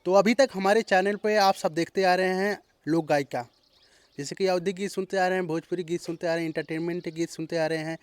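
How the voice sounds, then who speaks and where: A man's voice: sits high, fairly even intonation, fairly quick, clean tone, no echo. A man hosting a channel and interviewing a couple, talking in a loose, repetitive way. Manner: repeats phrases, loose rambling intro. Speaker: host, interviewer. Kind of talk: casual roadside interview.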